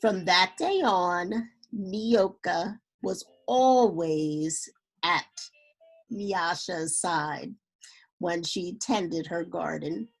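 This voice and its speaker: A woman reading a children's story aloud in an expressive, dramatic way with unhurried phrasing. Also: lowish voice, breathy edge, low-quality recording. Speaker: storybook reader.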